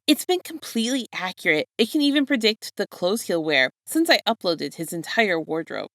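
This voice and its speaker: A woman voicing an excited, earnest teenage boy student who gushes eagerly as she reads a story. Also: mid-range voice, moderate pace, no echo, studio quality, pitched above the performer's own voice. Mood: eager, proud. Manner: earnest, gushing, rattles on.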